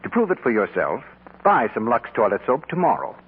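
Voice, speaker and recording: A man announcing a 1940s radio commercial in a formal, salesmanlike way with a husky voice. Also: medium pitch, wildly swooping pitch, brisk. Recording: tinny 1940s radio broadcast.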